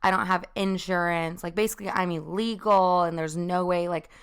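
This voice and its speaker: A young woman telling a personal story in a casual, chatty way, full of fillers and quick asides. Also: on the low side, fairly even intonation, clean tone. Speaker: vlogger.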